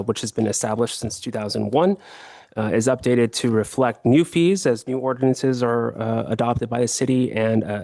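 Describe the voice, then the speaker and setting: A hoarse man, the city attorney, walks the council through a staff report, stopping to restart sentences and fill pauses. His voice is gravelly and creaky, and the recording is close and dry, with little room sound. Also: medium pitch, a little mellow, no room sound. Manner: explanatory, with frequent fillers and restarts.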